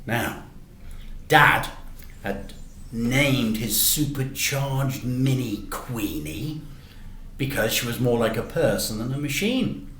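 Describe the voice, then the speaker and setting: Man, children's storyteller, very varied intonation, unhurried delivery, roomy echo. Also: medium pitch, lively intonation, fairly bright tone, slight background noise. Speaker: teacher reading stories to children. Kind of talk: animated children's story reading. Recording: echoey room.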